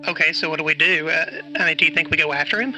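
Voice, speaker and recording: A man's voice: fairly high pitch, fairly even intonation, racing, bright, forceful tone, faint music behind, poor audio. A man playing an anxious, hesitant character in an audio drama, speaking in an informal, earnest way. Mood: uncertain, pleading. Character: anxious, hesitant man chasing a conspiracy mystery. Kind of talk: naturalistic audio drama dialogue and first-person narration.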